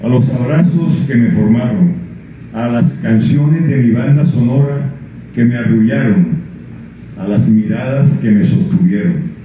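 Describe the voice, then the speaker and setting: A man's voice: mid-range voice, slight background noise. Older man, echoey lo-fi sound. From Colombia. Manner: halting, with many restarts and fillers when speaking freely. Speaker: author presenting his book. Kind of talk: reading prologue, then answering questions. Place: book presentation event. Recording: echoey room over a tinny lo-fi line.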